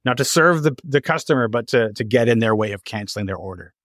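Animated man with a stammering, rambling delivery. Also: mid-range voice, quick pace, no echo, studio quality. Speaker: interviewer, podcast host. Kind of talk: casual conversational interview.

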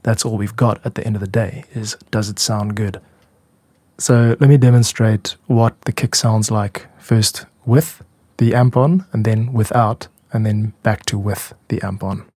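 Male podcast host, explanatory delivery, lowish husky voice, dry close studio-like sound. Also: fairly even intonation, measured pace, a little mellow, dry recording. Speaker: podcast host and music producer.

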